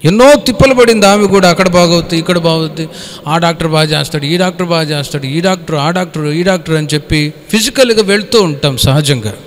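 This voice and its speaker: Higher-voiced male preacher in a reverberant hall. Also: quick pace. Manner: colloquial, exhorting, with rhetorical questions; code-mixes English words into speech. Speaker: pastor. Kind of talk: Bible study sermon. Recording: echoey hall with long reverb.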